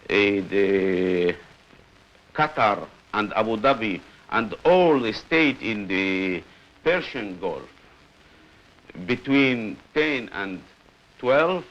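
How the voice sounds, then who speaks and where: A man giving interview testimony in halting, broken English fragments, on dull old newsreel sound. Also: on the low side, expressive, fairly bright tone. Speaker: anonymous interviewee, former militant official.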